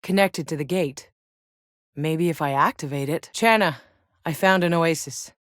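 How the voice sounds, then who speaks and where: A woman's voice: deep voice, measured pace, no echo. An actress playing a tough, sardonic, weary but determined woman soldier in a video game, with a terse, dry, hard-edged delivery. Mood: dry, matter-of-fact. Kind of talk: acted video game voice lines.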